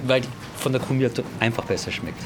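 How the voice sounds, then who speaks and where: A man's voice: mid-range voice, brisk. A man answering spontaneously as a passer-by in a street interview outdoors. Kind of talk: spontaneous vox pop answer. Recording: noisy urban street with traffic.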